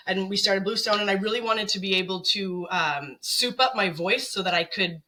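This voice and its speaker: A woman singer chatting as a guest on an interview show, telling stories in an animated, chatty way. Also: medium pitch, normal speed. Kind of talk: casual interview chat.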